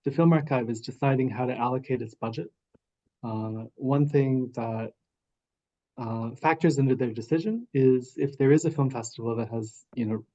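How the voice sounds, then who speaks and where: A man speaking as a festival programmer on an online panel, pausing often with ums and uhs. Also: medium pitch, dark tone, lo-fi sound. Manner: hesitant, full of ums and uhs. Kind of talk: casual panel discussion over video call. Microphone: video call microphone.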